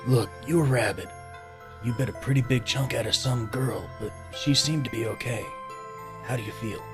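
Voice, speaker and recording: An actor playing a gruff, plain-spoken leader of a hobo gang in an audio drama. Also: male voice, medium pitch, normal speed, over music, natural voice. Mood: steady, reassuring.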